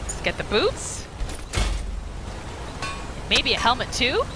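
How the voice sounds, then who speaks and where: A woman gaming host narrating a roleplay playthrough in a casual, expressive, playful storytelling style. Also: fairly high pitch, takes it slowly, noisy background.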